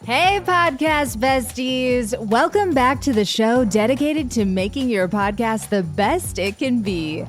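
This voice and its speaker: A woman's voice: on the high side, lively intonation, slow, few pauses, soft background music. A woman hosting an interview podcast, talking in a bubbly, upbeat way and laughing easily. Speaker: podcast host.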